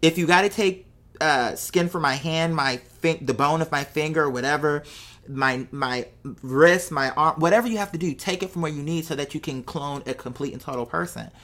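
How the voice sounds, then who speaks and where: Low-voiced woman musing casually. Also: normal speed, a bit bright. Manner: conversational and musing, poses rhetorical questions and checks in with the listener. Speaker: host of a live show. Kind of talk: casual unscripted monologue to followers.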